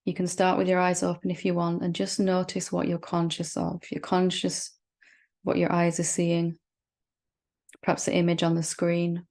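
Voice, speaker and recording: A woman leading a guided meditation as a spiritual teacher, speaking gently and reassuringly with long, unhurried pauses. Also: on the low side, monotone.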